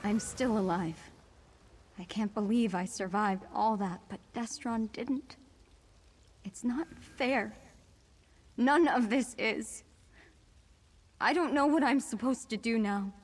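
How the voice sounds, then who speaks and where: A woman's voice: a little high, husky, big-room sound. A woman playing a shaken, grieving survivor in a video game, speaking in a downcast manner. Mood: grieving, lost. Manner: slow, downcast. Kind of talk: video game character dialogue.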